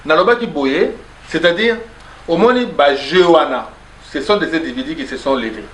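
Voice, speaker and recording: A man's voice: fairly high pitch, animated, moderate pace, a bit bright. A man arguing insistently in a debate, mixing French and Lingala, often cutting in with repeated "no, no". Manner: insistent, interrupts with repeated "non, non". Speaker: pastor in a debate. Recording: echoey room with faint background noise.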